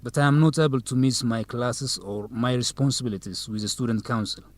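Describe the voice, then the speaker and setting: A man's voice: medium pitch, fairly even intonation, brisk, natural voice. A man playing an earnest, courteous young student leader in an English-learning radio drama. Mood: polite, curious. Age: young adult.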